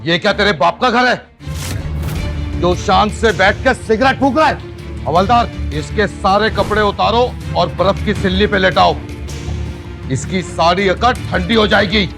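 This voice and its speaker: An actor playing an arrogant police inspector in an audio drama, sneering and commanding. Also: male voice, sits high, measured pace, vocal fry, over music. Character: arrogant bullying male police inspector, barking orders. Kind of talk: Hindi audio drama acting.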